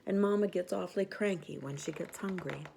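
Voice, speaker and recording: A young female hyena from a storybook, voiced by a woman reading aloud. She is anxious yet theatrical, with a soft-edged, low-set voice. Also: vocal fry, a little hiss or hum. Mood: worried. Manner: play-acts a slow, grave ghost voice. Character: young female hyena, worried, bluffing as a solemn spirit.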